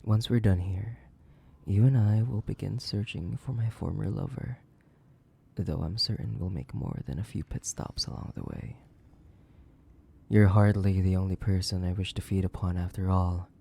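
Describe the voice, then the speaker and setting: A man playing a sadistic, possessive, centuries-old vampire in a horror roleplay, theatrical and menacing, with a creaky voice that lapses into breathy whispers. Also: low voice, normal speed, mellow, full character voice. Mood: gloating, hungry. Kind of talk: dramatic horror roleplay audio, addressing the listener.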